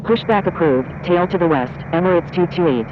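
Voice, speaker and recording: High pitched guy doing clipped air traffic radio calls, pretty noisy engine background. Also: expressive, fairly quick, muffled recording.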